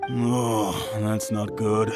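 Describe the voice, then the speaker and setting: A man's voice: fairly low pitch, level tone, very slow. A man playing a polite, troubled innkeeper in an anime game, courteous and a little hesitant. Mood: worried. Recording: music behind the voice.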